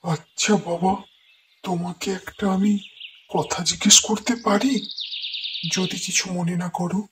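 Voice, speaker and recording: A woman playing a poor, worried old male woodcutter in a cartoon, speaking in a slow, fretful, plaintive way. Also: on the low side, fairly even intonation, close to an everyday voice. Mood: humble, downcast. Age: older. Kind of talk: cartoon moral-story dialogue. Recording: noisy track with birdsong.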